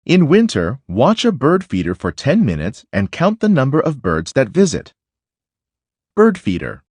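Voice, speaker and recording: A man reads a children's nonfiction book aloud at a slow, deliberate pace. His pitch moves up and down dramatically from phrase to phrase. The voice is slightly husky, and he phrases things for young listeners. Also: huge pitch swings, darkish tone, no echo. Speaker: reader of a children's picture book.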